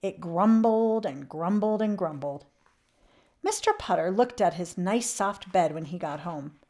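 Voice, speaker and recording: Animated woman reading a children's storybook. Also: lowish voice, measured pace. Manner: animated, with emphatic swells and hushed drops. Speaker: children's read-aloud channel host. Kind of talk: expressive children's storybook read-aloud.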